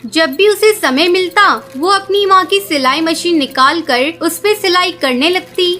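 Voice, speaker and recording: Very high-pitched woman storyteller. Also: unhurried, pushed and bright. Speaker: narrator. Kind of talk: scripted children's story narration.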